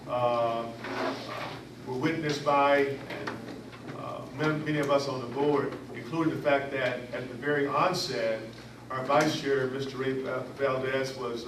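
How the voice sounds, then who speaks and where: A man chairing a community board meeting, reading out his report with many fillers and restarts. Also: mid-range voice. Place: meeting room. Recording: echoey, distant, noisy room recording.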